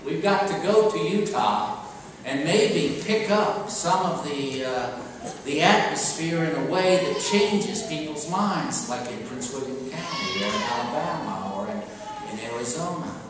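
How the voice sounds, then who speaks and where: A man's voice: on the high side, expressive. Older male preacher in a very echoey church. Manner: halting and emphatic, with pauses and rhetorical questions. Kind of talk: sermon, homily delivered conversationally. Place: large church interior, at a pulpit. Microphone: far camera microphone. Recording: distant, very echoey church, clear background noise.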